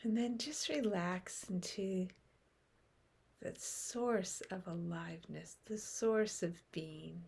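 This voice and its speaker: A woman leading a guided meditation in a gentle, soothing manner, with phrases that often soften into breath. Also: lowish voice, slow pace, creaky, slightly rough.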